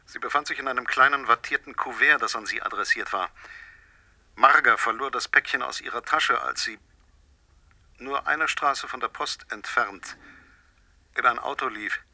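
Threatening male stranger, radio drama. Composed, measured, preachy, piercingly bright tone, dry close recording. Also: mid-range voice, no room sound. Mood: calm, controlled. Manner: measured, formal, moralising, coolly menacing.

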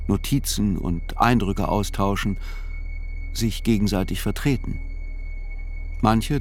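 An actor playing a reflective male writer who reports on a trial in a measured, sober radio drama narration. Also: fairly low pitch, slight background noise, close to an everyday voice.

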